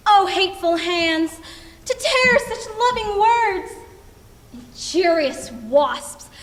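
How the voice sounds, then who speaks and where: A young woman performing a lovesick, remorseful Shakespearean heroine in a slow, dramatic stage monologue. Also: full character voice. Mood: anguished, angry. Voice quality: high-pitched. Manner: slow, dramatic verse delivery. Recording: echoing hall, distant microphone.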